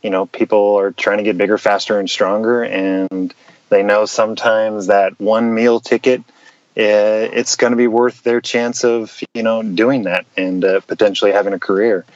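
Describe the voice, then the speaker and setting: A man's voice: fairly low pitch, little pitch movement, normal speed, no room sound. An American man answering interview questions in an easygoing way with many fillers. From United States. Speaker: podcast guest, former player. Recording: dull, dry sound.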